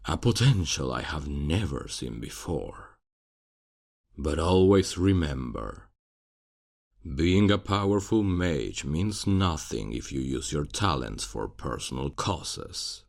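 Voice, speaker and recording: A man acting a kindly, fatherly old archmage in a fantasy ASMR roleplay, speaking in a gentle, solemn, measured way with a gravelly, husky voice. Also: extremely low pitch, heavily acted. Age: older.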